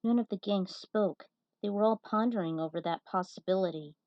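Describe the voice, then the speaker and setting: A woman's voice: medium pitch, a little fast, no echo, dull audio. Woman reading her story aloud, dry close sound. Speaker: host and reader of a fan fiction series. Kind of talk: amateur story reading with casual asides.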